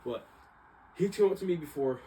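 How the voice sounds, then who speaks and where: A man's voice: on the high side, lively intonation, normal speed, warm tone, slight background noise. A young American man telling a story casually, with a rambling, slangy manner, often correcting himself. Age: young adult. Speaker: vlogger, exercise science student.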